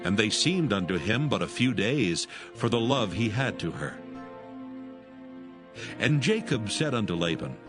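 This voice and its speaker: A man narrating dramatized scripture as a solemn, measured storyteller. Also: on the low side, very animated. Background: music.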